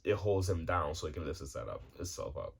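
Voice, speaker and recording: A man's voice: rumbling low, expressive, a little fast, gravelly, breathy edge. A man in his twenties talking casually to his viewers, rambling and cursing freely with lots of restarts and fillers. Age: 20s. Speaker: vlogger. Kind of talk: casual rambling monologue to camera.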